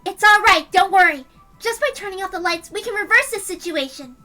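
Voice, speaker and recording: Woman acting very high, sweet plucky girl. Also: a little fast, sharp tone. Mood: resolute. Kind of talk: anime visual novel character reading. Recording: music clearly behind the voice.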